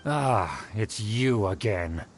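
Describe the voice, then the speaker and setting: A man's voice: on the low side, expressive, slow pace, slight background noise. A man voicing a haughty, pompous, vain aristocrat in a video game, speaking in a formal, condescending way. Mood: disdainful. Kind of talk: video game character acting.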